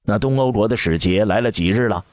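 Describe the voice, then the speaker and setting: A man's voice: lowish voice, brisk, darkish tone, no echo, studio quality, pitched above the performer's own voice, lightly acted. A man voicing an imperious old grand empress dowager, scolding her ministers in a commanding tone. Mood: furious. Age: older.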